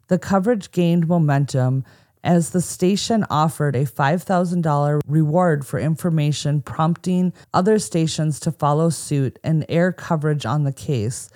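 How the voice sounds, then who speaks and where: A woman's voice: low-pitched, warm tone. An American woman co-hosting a podcast, telling a true crime story from a script with casual asides. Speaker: podcast co-host.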